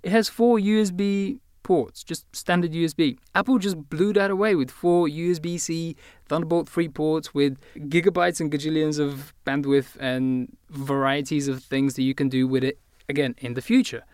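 A man's voice: on the high side, fairly even intonation, normal speed, hardly any pauses, a little mellow, clean tone, no echo, clean studio sound. A man from Bulgaria with a British accent, a guest tech editor explaining hardware in detail on a podcast. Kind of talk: podcast discussion.

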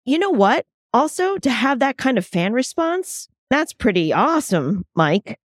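A woman's voice: sits high, big pitch swings, unhurried, no room sound, studio quality. A woman hosting an interview, asking questions with enthusiasm. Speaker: interview host.

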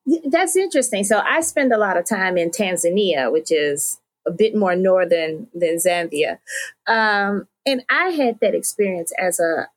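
Husky American woman interviewer, lively pitch. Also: moderate pace. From United States. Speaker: podcast host interviewer. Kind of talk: conversational interview.

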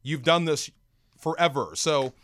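A man with an American accent talks football as a studio analyst. His voice sits a little high, and he explains things conversationally with examples. The recording is very dry and close. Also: a little slow, a bit bright, dry recording. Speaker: sports show guest analyst.

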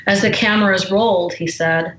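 A woman's voice: medium pitch, steady tone, moderate pace, fairly bright tone, echoey room, highs cut off. A woman guest who is an author, speaking in a forthright, indignant way and reading from her memoir. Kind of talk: reading aloud from her memoir, then conversation.

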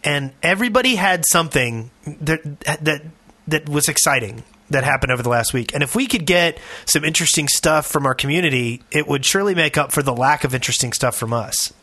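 A man's voice: on the high side, lively intonation, a little fast, hardly any pauses, bright, forceful tone, no room sound. A man co-hosting a podcast in casual, conversational chat. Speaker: podcast co-host.

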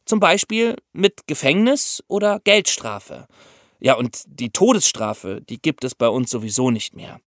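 Male co-host for an explainer podcast, didactic, highly animated intonation, dry close studio sound. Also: unhurried, no echo. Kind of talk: explanatory podcast talk for young listeners.

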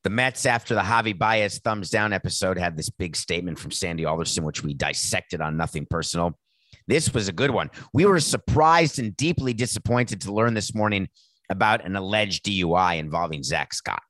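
Raspy guy ranting sarcastically about sports, lowish voice, dead dry sound. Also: normal speed, a bit bright, no room sound, rough recording. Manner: sardonic, mocking. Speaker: podcast host.

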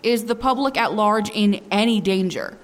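An actress playing a persistent, direct newspaper reporter asking questions at a press conference in an audio drama. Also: female voice, medium pitch, expressive, moderate pace, close to an everyday voice. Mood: insistent. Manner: direct, insistent. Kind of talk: full-cast audio drama. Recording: reverberant press room.

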